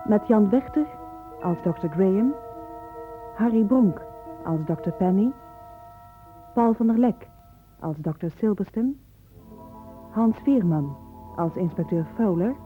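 A woman announcer reading scripted radio play credits in a formal, measured way. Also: medium pitch, lively intonation. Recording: muffled old radio recording. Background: music.

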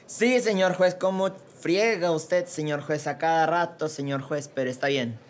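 A man's voice: a little high, normal speed, close to an everyday voice. A man with a Mexican accent voicing a lazy, sarcastic court secretary in a comedy radio drama. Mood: cheeky. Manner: sarcastic and grumbling.

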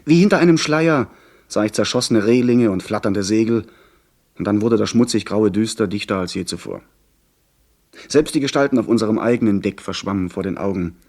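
A young gentleman telling a seafaring adventure, his voice on the low side. The delivery is earnest, literary and dramatic, in the scripted style of a radio play. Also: a little fast. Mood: vivid, tense. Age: young adult. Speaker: narrator and character.

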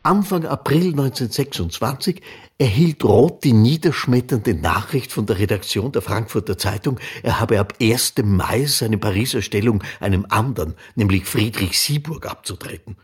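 Very husky, low-voiced male narrator. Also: expressive, moderate pace. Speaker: documentary narrator. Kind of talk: scripted biographical narration.

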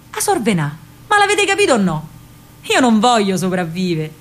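An actress plays a young Roman woman telling her own story in a radio drama. She is spirited and dreamy, longing to be free. Her delivery is theatrical and expressive, with dramatic pauses and a marked Roman accent. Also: medium pitch, natural voice. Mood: defiant, impatient. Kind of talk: theatrical first-person monologue, radio drama.

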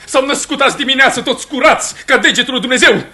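A man's voice: extremely high pitch, sharp tone, exaggerated voice. A man acting in a Romanian radio play as a proud, hot-tempered farmer and husband, impassioned and declamatory. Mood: furious.

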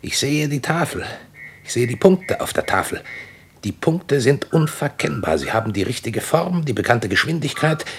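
A commanding general in a radio play, mid-pitched and curt. He sounds irritable and bound to duty, on a faintly noisy old recording. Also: sing-song, moderate pace, fairly bright tone. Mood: tense, dogged. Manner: curt, authoritative. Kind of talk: German radio drama acting.

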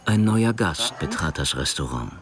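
A man narrating a crime radio drama in a dry, measured storytelling manner. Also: a bass voice, sing-song, over music.

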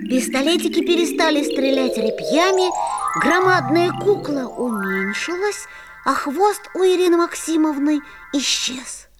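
A woman voicing a curious young schoolboy who narrates a musical fairy-tale audio play in an earnest, storytelling way. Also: sits high.

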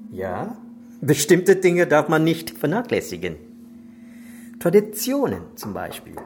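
A man's voice: a little high, huge pitch swings, unhurried, a little mellow, slight background noise, heavily acted. A man in a German radio crime drama playing a suave, menacing Chinese crime boss who is genial but insinuating and commanding. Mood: ceremonious, genial.

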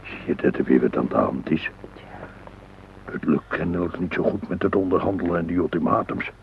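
A weary, pedantic grandfather grumbles and explains in a radio play. His elderly voice is deep and full of gravel. The old recording is muffled. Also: brisk, a little hiss or hum. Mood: tense, grumbling. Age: older. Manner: explaining patiently, gruffly correcting. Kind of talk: radio drama dialogue.

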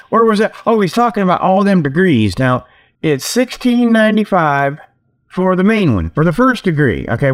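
A man hosting a comedy show, giving a rambling, folksy monologue full of mock sales pitches. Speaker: host of a comedy talk show.

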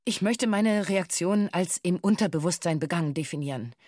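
A woman playing a former hostage who gives sober witness testimony in a documentary radio drama, formal and deliberate in delivery. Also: on the low side, animated, darkish tone, slight background noise, close to an everyday voice. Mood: sober, factual.

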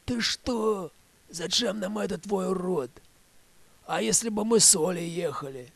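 A man's voice: sits high, a little slow, gravelly, dry recording, full character voice. A man voicing a scornful woman in her thirties who nags her man with blunt, scolding questions in an audio play. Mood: scornful, exasperated.

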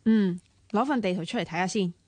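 A woman's voice: mid-range voice, brisk, darkish tone, lightly acted. An actress playing a cheeky, grumbling young woman in a radio drama, with a Hong Kong Cantonese accent. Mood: playful. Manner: teasing, petulant.

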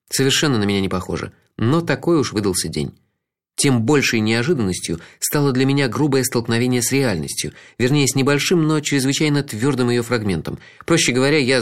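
Brief: male narrator with a mid-pitched, animated voice, wry reflective storytelling. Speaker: audiobook narrator. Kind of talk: first-person literary narration.

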